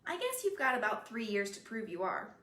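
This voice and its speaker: A woman's voice: fairly high pitch, huge pitch swings, a little fast, pushed and bright, full character voice. A woman reading a teasing teenage girl. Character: spirited, caring teenage girlfriend. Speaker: reader. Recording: roomy echoey room.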